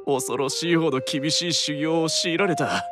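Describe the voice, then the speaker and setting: A man's voice: on the high side, moderate pace, music in the background, lightly acted. A man playing a proud, earnest, worn-out demon brother with a stiff, dramatic delivery in a Japanese anime-style audio drama. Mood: weary, earnest.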